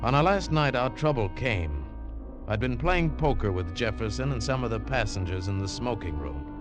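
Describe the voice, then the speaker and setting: An actor playing a hard-boiled, suspicious adventurer, terse and sardonic, in a 1940s radio drama. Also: male voice, fairly low pitch, very animated, normal speed, over music. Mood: tense narration. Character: hard-boiled, suspicious male adventurer narrating his own tale. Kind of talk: 1940s radio drama acting with first-person narration.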